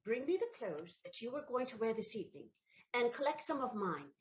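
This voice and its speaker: A woman playing a romantic, scheming countess in a stage comedy audio play, dramatic and exclamatory with hesitant pauses. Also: a little high, big pitch swings, lo-fi sound, slight background noise. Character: theatrical noblewoman countess, scheming, romantic, betrayed wife.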